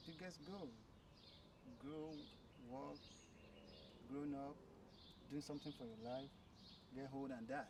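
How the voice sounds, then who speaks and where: Halting man in his thirties. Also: medium pitch. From Nigeria. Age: 30s. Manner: halting, repeats words while telling his story. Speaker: interview guest. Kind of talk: casual conversation. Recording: distant mic with clear background noise.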